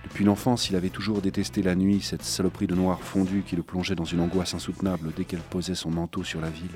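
A man's voice: deep voice, little pitch movement, fast talker, darkish tone, soft background music. A man reading a literary thriller as scripted narration. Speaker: audiobook narrator.

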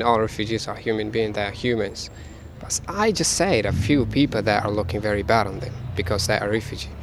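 A man's voice: on the low side, gravelly, slight background noise. A man with an Afghan accent and Dari as his first language, speaking casually as a refugee and interpreter, repeating words for emphasis. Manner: repeats words for emphasis, halting non-native English phrasing. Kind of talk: casual spoken testimony and interpreting.

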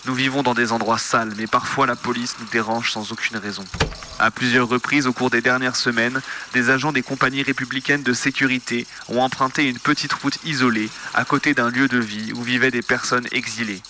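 A man reading written testimonies aloud in a flat, steady way. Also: medium pitch, a little fast, background noise.

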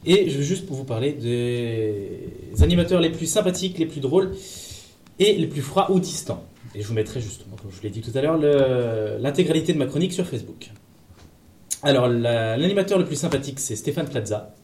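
A man's voice: medium pitch, animated, fairly quick, darkish tone. A man presenting a column in a casual, hesitant, informal way, chatting with co-hosts. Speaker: radio show columnist. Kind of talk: casual chronicle presentation with banter. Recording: roomy echo, faint background noise.

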